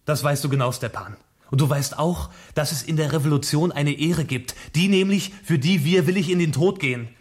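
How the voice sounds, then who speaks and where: A man playing a principled, proud revolutionary in a radio play, speaking with earnest, deliberate stage diction. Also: fairly high pitch, natural voice. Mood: earnest conviction. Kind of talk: dramatic radio play acting.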